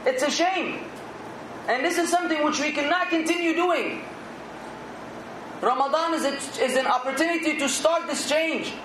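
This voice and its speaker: High-voiced man preaching in an echoey room. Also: normal speed, long pauses, noisy background. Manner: earnest, exhorting, rising to emphatic outbursts. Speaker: Islamic preacher. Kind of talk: sermon.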